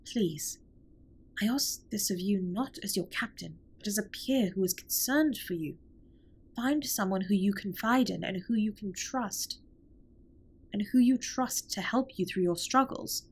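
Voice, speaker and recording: A woman playing a formal, caring alien spaceship captain in an intimate audio drama, speaking in a measured, precise way with a breathy, husky voice. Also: mid-range voice, lively intonation. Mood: concerned, earnest. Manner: formal, measured, precise wording with few contractions. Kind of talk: scripted roleplay audio drama, intimate comfort monologue.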